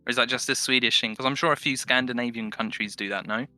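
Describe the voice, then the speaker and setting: A man's voice: medium pitch, steady tone, quick pace, sharp tone, no echo, faint music behind. A young British man chatting casually, with slangy, sweary, blunt banter. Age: young adult. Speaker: podcast guest. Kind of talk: casual chat.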